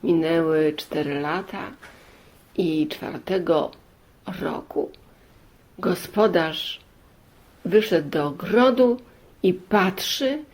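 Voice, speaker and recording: A woman storyteller telling a fairy tale in deliberate short phrases, with many pauses and repeats. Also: fairly low pitch, a little mellow.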